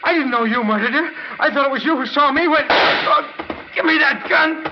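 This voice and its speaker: An actor playing a panicky, desperate man cornered by a killer, pleading in halting bursts in a 1940s radio melodrama. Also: extremely high pitch. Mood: frightened, pleading. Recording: noisy, dull old radio recording.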